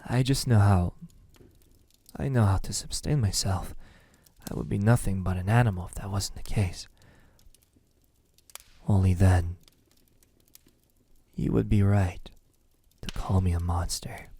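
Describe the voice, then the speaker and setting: Man acting as possessive male vampire, low soft voice, dark and mellow tone, hesitant, tender, intimate ASMR roleplay. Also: fairly even intonation, heavily acted. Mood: soothing, pleading. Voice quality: breathy, soft, husky. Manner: slow, hesitant, halting, tender. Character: lovesick, possessive centuries-old male vampire, gentle yet desperate. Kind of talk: intimate ASMR roleplay, often dropping into whisper.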